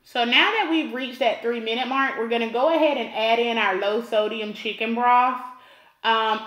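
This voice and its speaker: A woman's voice: a little high, pushed and bright, echoey room. A woman from Alabama hosting a cooking tutorial, talking the viewer through each step and repeating points for emphasis. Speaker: cooking channel host.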